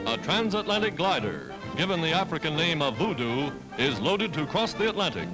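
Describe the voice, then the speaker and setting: A man's voice: on the high side, rough recording. A man narrating a 1940s wartime newsreel in a dramatic, declamatory manner, on a vintage film soundtrack. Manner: declamatory, dramatic scripted delivery. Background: loud music.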